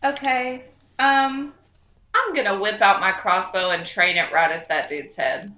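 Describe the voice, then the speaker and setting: Slow-talking bright female player, roomy sound. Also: medium pitch, dull audio. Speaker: podcast host playing a tabletop game. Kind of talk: casual chat.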